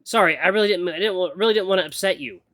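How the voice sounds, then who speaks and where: A man's voice: sits high, no room sound, studio quality, voice pushed up. A man voicing a young apprentice runner who is flustered, sensitive and sweary, stammering and easily exasperated. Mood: apologetic, flustered. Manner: stammering, expressive, quick to get exasperated.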